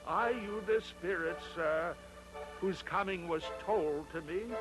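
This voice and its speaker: An anxious, bewildered miser, put on by a male narrator for a storybook read-along. He pleads and questions politely in a raised voice, with music clearly playing behind him. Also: high-pitched, slow, pitched above the performer's own voice, poor audio.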